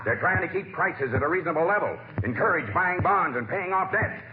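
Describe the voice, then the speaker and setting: Man playing officious nosy neighbor, fast, slightly high voice, self-important, old radio comedy, noisy thin recording. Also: expressive, echoey room, narrow, tinny audio, music in the background, lightly acted. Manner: officious, lecturing. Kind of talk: 1940s radio comedy acting.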